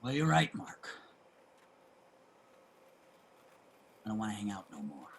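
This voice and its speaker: Gruff homeless man, acted by a man: embittered and wounded, creaky sharp voice, stage drama, some room noise behind. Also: on the low side, sing-song, fast. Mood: cold anger. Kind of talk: stage play table reading.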